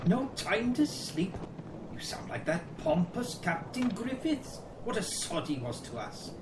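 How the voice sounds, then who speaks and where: A man's voice: high voice, sing-song, unhurried. A British man playing a weary, sardonic, grumbling trench soldier in a radio play. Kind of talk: dramatic dialogue in an audio play. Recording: roomy, distant, clearly noisy recording.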